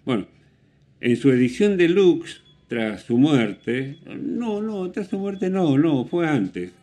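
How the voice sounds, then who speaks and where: A man with an Argentine Spanish accent hosting a radio show, commenting casually on music with opinions in a rambling, halting way. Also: fairly high pitch, big pitch swings, warm tone. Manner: rambling, hesitant with self-corrections and rhetorical questions. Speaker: radio host.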